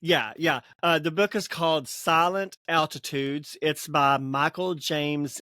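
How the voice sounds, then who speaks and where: A man's voice: a little high, steady tone, takes it slowly, sharp tone, dry recording, clean studio sound. Chatty rambling male podcast host. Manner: rambling, laughs often, stumbles over words. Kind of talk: casual book discussion chat.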